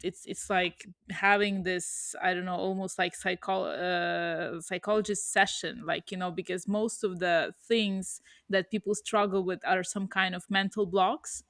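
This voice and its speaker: A woman's voice: mid-range voice, no echo. Chatty woman in her thirties, dry sound. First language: Russian. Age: 30s. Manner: reflective, many fillers and restarts. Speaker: podcast co-host. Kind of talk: casual chat.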